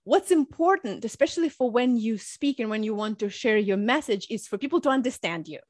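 A woman from Russia, raised in Ukraine, speaking English as a podcast guest. She talks in an energetic, chatty way, laughing often and explaining through examples. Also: on the high side, a little fast, no room sound, studio quality. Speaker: guest, public speaking coach. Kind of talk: conversational podcast interview.

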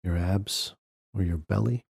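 A man leading a guided meditation, giving gentle step-by-step instructions in short phrases with long pauses between them. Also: low voice, creaky, breathy edge, dry recording, clean studio sound. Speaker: podcast host guiding a meditation.

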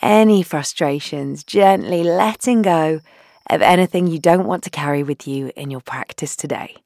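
A woman leading a guided meditation in a soothing, gentle, unhurried way, leaving long pauses between phrases and softening into breathy endings. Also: low voice, no room sound. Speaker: guided meditation leader, founder.

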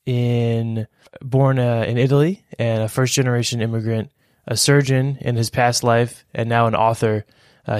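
A man's voice: medium pitch, no room sound, studio quality. A man hosting a podcast, introducing his guest and asking questions in a friendly, plain-spoken way. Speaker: podcast host. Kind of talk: casual interview hosting.

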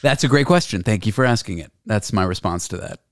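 A man's voice: lowish voice, expressive, fast talker, no room sound. Canadian man, podcast host, self-deprecating and rambling, dry room sound. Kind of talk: casual chat.

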